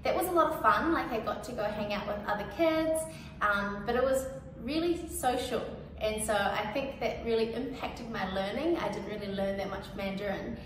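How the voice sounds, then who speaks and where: A young woman with a New Zealand accent who grew up speaking Cantonese talks through her story in a relaxed, chatty way, full of "like" and "you know". Her voice sits at an ordinary middle pitch. It comes from some distance, in a strongly reverberant space with clear background noise. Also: moderate pace. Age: young adult. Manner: casual and chatty, with many "like" and "you know" fillers. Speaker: featured speaker. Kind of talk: personal testimonial.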